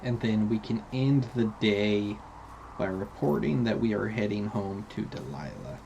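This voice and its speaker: Slow, gravelly man doing game commentary. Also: lowish voice, expressive, darkish tone. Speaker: gaming host. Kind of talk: let's play commentary. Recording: dull, lo-fi mic with clear noise.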